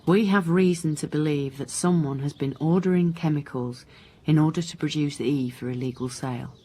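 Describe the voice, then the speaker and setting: A woman acting a dry, sceptical police inspector in a radio drama, questioning in a measured, pointed way. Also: low voice, dark tone. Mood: businesslike, probing. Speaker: actor in radio crime drama.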